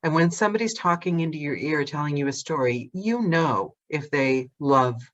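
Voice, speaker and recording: A woman hosts a podcast from a remote call, her voice slightly muffled and lo-fi. She speaks in a middle pitch, thoughtful and encouraging. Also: lively intonation, moderate pace. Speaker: podcast host. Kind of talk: casual podcast conversation. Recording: slightly dull lo-fi call audio.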